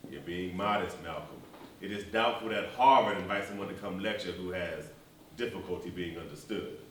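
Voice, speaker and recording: Dignified, low-voiced preacher in echoing hall. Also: male voice, fairly bright tone. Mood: composed, pointed. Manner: formal, deliberate, dignified. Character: dignified, measured preacher and civil rights leader, adult man. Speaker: stage actor. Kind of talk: one-act stage play dialogue. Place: live theatre performance, recorded from far off. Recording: distant, echoey, noisy stage hall.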